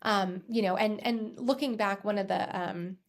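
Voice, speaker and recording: A woman's voice: mid-range voice, a little slow. An American woman in her thirties hosting a podcast, telling a personal story in a conversational, self-reflective way with emphatic repetitions. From United States. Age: 30s. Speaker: podcast host and accountant.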